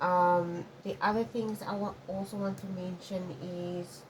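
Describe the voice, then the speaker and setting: A woman's voice: on the low side, fairly even intonation, a little slow, slight background noise, low-quality recording. A woman whose first language is Afrikaans, giving a rambling intuitive tarot reading and often checking in with "okay?". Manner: rambling, many restarts and frequent "okay?". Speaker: tarot reader.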